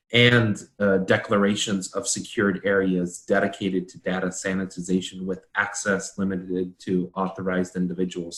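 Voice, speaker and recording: A man with a fairly low voice talks as a consultant in an informal discussion. He explains details in a measured way, and the room around him is clearly echoey. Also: a bit bright.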